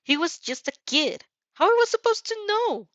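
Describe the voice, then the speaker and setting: A woman's voice: very high voice, big pitch swings, moderate pace, a bit bright, dry recording, highs cut off, voice pushed up, heavily acted. Woman as a defensive, flustered teenage girl. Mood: indignant. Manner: hesitant, defensive. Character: nervous, defensive teenage girl student, stammering and stubborn. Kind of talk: amateur fan fiction character reading.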